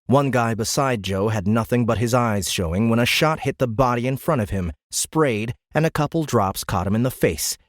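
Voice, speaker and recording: A man narrating a military science fiction audiobook in a measured, grave, dramatic way. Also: medium pitch, no room sound, studio quality. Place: dry recording studio.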